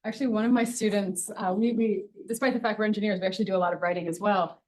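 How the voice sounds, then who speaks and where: A woman engineering professor speaks on a discussion panel. Her voice sits at a middle pitch, and she explains things in a measured, step-by-step way. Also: fairly even intonation, a little mellow.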